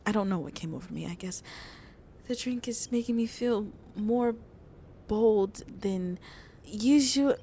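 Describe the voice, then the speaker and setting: A woman playing a shy, lonely vampire in an intimate ASMR roleplay, speaking softly and hesitantly, often half whispering. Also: medium pitch, slight background noise, natural voice. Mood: flustered, yearning. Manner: slow, hesitant, halting, soft and breathy. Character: shy, lonely female vampire on a tender first date.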